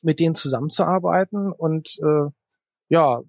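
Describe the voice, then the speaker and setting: Male interview guest, author, fairly high voice, conversational delivery, no room sound. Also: measured pace, creaky, dry recording. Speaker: author interview guest, writer of novels and audio dramas.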